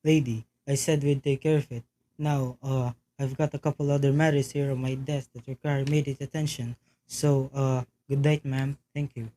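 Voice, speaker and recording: Unhurried, dismissive desk sergeant for radio play, female performer; deep, monotone, matter-of-fact delivery. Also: a little hiss or hum, poor audio. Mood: bored, brusque. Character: brusque, dismissive New York police desk sergeant. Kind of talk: amateur radio play acting.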